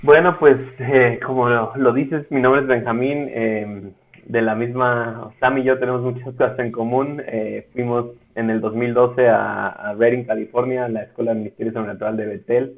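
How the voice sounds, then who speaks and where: A man with a Mexican accent, speaking as a guest in a casual interview, measured and often pausing on "eh". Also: mid-range voice, steady tone. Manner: measured, with frequent "eh" fillers. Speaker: guest, ministry school director. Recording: tinny phone-like line.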